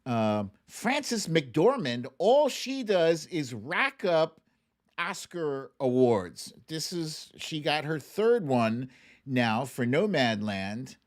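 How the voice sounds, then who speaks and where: A man hosting a livestream with casual commentary, speaking in a halting, conversational way with pauses and emphatic stresses. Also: on the high side, very animated. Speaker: host of a livestream show.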